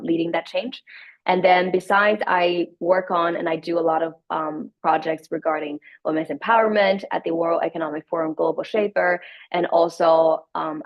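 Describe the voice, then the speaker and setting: A young woman from Hungary speaking as a student panelist, enthusiastic and full of hedging fillers. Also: low-pitched. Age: young adult. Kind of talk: panel talk and self-introduction. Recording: dull, muffled lo-fi audio.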